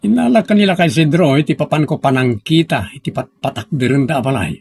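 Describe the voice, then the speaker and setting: A man playing an arrogant, overbearing engineer and department head in an Ilocano drama, with a husky voice. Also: fairly high pitch, lively intonation, fast talker, a little mellow, dry recording, studio quality, heavily acted. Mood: defiant, boastful. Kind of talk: Ilocano audio drama acting.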